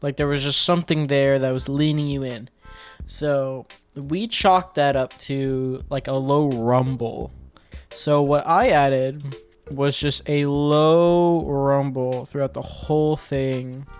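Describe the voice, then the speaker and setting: A man's voice: mid-range voice, steady tone, slow, clean tone. A man talks informally through his editing work in a mid-range voice. He explains it step by step, like a screen-recorded breakdown. Music and sound effects play faintly underneath him. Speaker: video editor and sound designer presenting his own work. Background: music tracks and sound effects being demonstrated.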